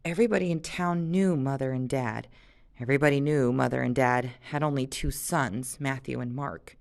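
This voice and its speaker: A woman reading a story aloud in an expressive audiobook style, with casual, conversational commentary as she leads the discussion. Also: rumbling low, moderate pace, creaky. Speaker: reader leading a read-aloud and discussion.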